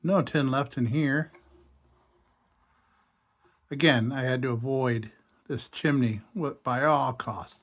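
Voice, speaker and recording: A hoarse man talking casually to himself as he restores an old lantern at a workshop bench, thinking aloud. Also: medium pitch, fairly even intonation, slow pace, long pauses, a bit bright, a little hiss or hum. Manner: chatty, thinking aloud while working. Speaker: restoration channel host.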